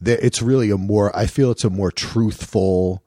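A man in his fifties with a low, deep radio-style voice, chatting and rambling through restarts as a podcast co-host. The sound is dry and close. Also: steady tone, moderate pace, warm tone, dry recording. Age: 50s. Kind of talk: casual chat.

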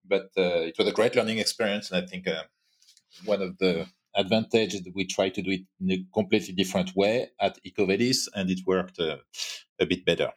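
A man's voice: sits low, fairly even intonation, brisk. A man from France with a French accent, a guest in a podcast interview, speaking hesitantly with many fillers. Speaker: guest entrepreneur. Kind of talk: casual interview chat.

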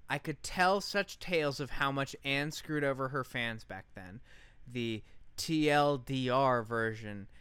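A man with a clear, smooth voice co-hosts a podcast in a dry, close recording. He speaks at a middle pitch and moves between informal, profane chat and reading aloud. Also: a little slow, fairly bright tone, dry recording. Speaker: podcast co-host.

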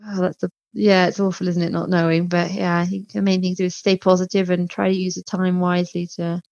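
A woman's voice: lowish voice, level tone, quick pace, gravelly, darkish tone, dry recording. Woman chatting, dull close recording. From outside Mexico, not American. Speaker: interview guest, small restaurant and shop owner. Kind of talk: conversational interview.

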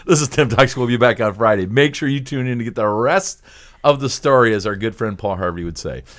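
A man's voice: medium pitch, a little fast, a bit bright. An American man hosting a casual ministry talk show, enthusiastic and jokey, often repeating his phrases. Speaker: podcast host.

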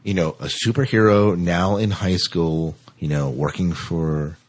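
A man's voice: low voice, fairly even intonation, measured pace. An American man hosting a casual entertainment news podcast in an informal, conversational way. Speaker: podcast host.

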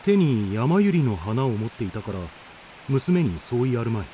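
An actor playing a bold, stubborn warrior of Heian Japan, with resolute archaic phrasing in a radio drama. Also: male voice, mid-range voice, very animated, moderate pace, mellow, a little hiss or hum, natural voice, low-quality recording. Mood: pensive, alert.

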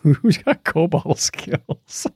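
A man's voice: on the high side, slow, slightly rough, no room sound, studio quality. A middle-aged American man co-hosting a casual tech podcast, chatting and telling stories in a jokey way. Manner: jokey, animated storytelling. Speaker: podcast co-host.

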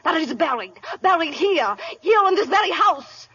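A theatrical heiress who clings to her fortune. Her voice is high and bright, and she speaks with intense, dramatic emphasis. The recording is muffled with faint hiss. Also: very animated, moderate pace, heavily acted. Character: melodramatic young heiress guarding a fortune. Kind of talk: 1930s radio acting. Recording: scratchy 1930s radio broadcast.

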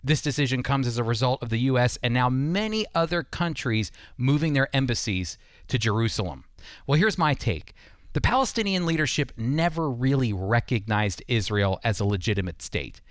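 A man's voice: medium pitch, expressive, normal speed, no echo, studio quality. A man hosting a radio program, delivering scripted Bible teaching and commentary.

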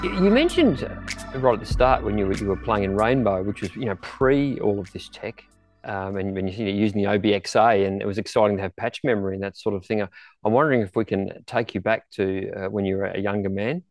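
Australian man, lowish voice, halting interviewer. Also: darkish tone, faint music behind. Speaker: podcast co-host. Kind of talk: casual interviewing.

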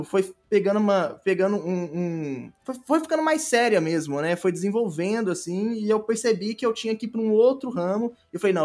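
A Brazilian man talking casually as an interview guest, explaining things step by step with examples. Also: sits high. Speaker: podcast guest, audio drama creator.

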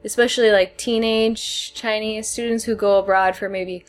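A woman's voice: mid-range voice, fairly even intonation, moderate pace. A woman co-hosting a podcast in casual, conversational discussion. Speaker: podcast co-host.

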